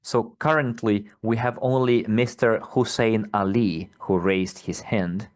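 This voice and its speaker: A man hosting a live broadcast Q&A, answering questions in a direct, firm and brisk way. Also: fairly low pitch. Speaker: company presenter hosting a live Q&A.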